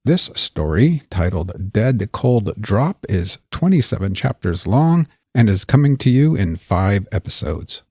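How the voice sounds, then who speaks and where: A man narrates crime fiction with a low-pitched, slightly rough-edged husky voice. The delivery is measured and theatrical, like a host of old-school mystery programs. The sound is dry and close, with no room on it. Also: big pitch swings, darkish tone, no echo. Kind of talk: scripted crime story narration.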